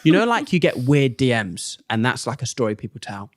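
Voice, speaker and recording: A young man and comedian, chatting casually as a podcast guest and telling animated stories in which he acts out other people's lines. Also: medium pitch, fairly quick, no echo, studio quality. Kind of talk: casual chat, storytelling.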